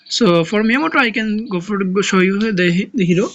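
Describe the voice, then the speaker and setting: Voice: mid-range voice, normal speed, fairly bright tone, highs cut off. A speaker from Bangladesh, a native Bengali speaker with a Bangladeshi accent, explaining a strategy game in a casual tutorial. They often restart words and search for terms. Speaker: game guide creator.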